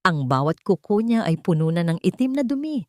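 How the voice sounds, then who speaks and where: Casting: female audiobook narrator, lowish register, dramatic scripted fiction, very dry studio-style sound. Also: sing-song, fairly quick, warm tone, no room sound.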